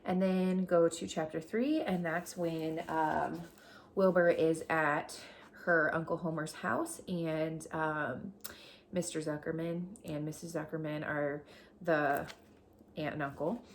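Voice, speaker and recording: A woman reading a children's storybook aloud as a teacher, deliberate and explanatory as she addresses young listeners. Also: sits low, fairly even intonation, a little hiss or hum.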